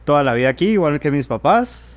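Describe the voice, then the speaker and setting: A man from Guatemala with a Guatemalan accent, an economist guest speaking calmly and matter-of-factly. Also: on the high side, fast talker. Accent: Guatemalan Spanish. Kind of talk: podcast interview.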